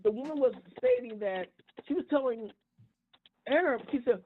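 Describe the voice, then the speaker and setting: A man's voice: very high-pitched, expressive. A man speaks as a panelist on a call-in talk show, heard through a narrow, tinny phone connection. He is impassioned and emphatic, often doubling back and repeating words as he builds his point. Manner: repetitive, halting restarts, emphatic. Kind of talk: impassioned discussion. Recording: tinny phone conference line.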